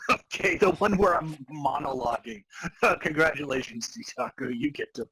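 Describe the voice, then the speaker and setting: A man's voice: on the high side, animated, moderate pace, creaky, pushed and bright, slightly rough, no echo, low-quality recording. A man talking casually as a podcast co-host. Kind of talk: casual chat.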